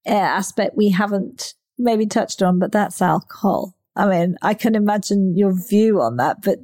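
A woman's voice: mid-range voice, moderate pace, warm tone. A woman hosting a podcast, agreeable and drawing out her guest with questions. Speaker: podcast host. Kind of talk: podcast conversation.

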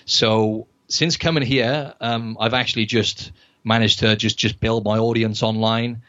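A man's voice: on the low side, steady tone, unhurried, pushed and bright, highs cut off. A man from Wales, answering interview questions casually with hesitant restarts. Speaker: podcast guest, personal trainer.

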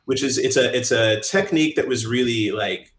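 A man's voice: medium pitch, normal speed, fairly bright tone. A man in his usual middle register chats wryly on a podcast, breaking into laughter often. His voice carries a noticeable echo from the room around him. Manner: wry, laughs often, talks in bursts. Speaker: podcast host. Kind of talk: casual podcast chat.

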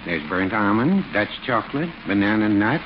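An actor playing a mild, literal-minded older druggist with a dry, deliberate manner, in 1940s radio sitcom style. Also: male voice, lowish voice, very animated. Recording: very noisy, muffled 1940s radio broadcast recording.

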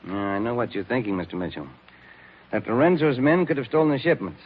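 An actor playing a formal, evasive relief official in a 1940s radio drama. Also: male voice, medium pitch, very animated, quick pace. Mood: assured. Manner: formal, guarded. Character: evasive, proper relief-foundation official, smooth and guarded. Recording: thin, phone-like old radio recording.

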